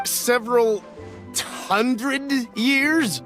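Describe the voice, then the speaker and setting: A man's voice: very high voice, drawn-out words, sharp tone, faint music behind, exaggerated voice. A man playing an anxious, deferential antiques buyer in a video game, speaking politely and in a flustered, apologetic way. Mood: flustered, pleading. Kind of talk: scripted video game voice acting.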